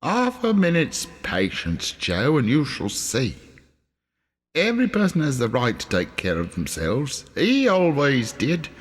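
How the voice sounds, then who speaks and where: A gloating, shameless charwoman from a storybook, played by a man. The voice leaps up high and swings about boldly. It rings in a long, cavernous reverb. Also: huge pitch swings, measured pace. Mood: brash, defiant. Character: brazen, gloating elderly charwoman thief.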